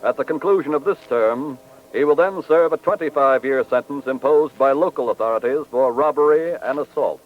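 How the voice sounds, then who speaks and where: A man's voice: medium pitch, moderate pace. Male narrator, grave and formal, vintage radio crime series, tinny narrow sound with faint music behind. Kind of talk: scripted 1940s radio narration. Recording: tinny, band-limited old radio recording.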